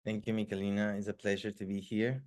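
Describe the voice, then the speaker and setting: A man giving an informal talk as a guest speaker, reflective and hesitant, often pausing and repeating words. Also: on the low side, level tone, darkish tone. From Ecuador. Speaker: guest speaker, music producer. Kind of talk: informal community talk presentation.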